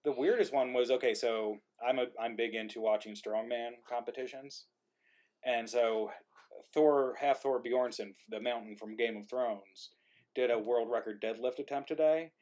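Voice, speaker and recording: Man hosting a casual, halting live stream. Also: mid-range voice, steady tone, darkish tone. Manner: halting, thinking aloud while troubleshooting. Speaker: host of an online live-streamed play reading. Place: remote group video stream.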